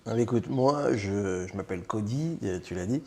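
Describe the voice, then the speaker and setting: A Belgian man in his thirties, a comedian guest in a casual interview, speaking with many pauses and fillers and a self-mocking humor. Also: fairly low pitch, animated, a little mellow. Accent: Belgian French.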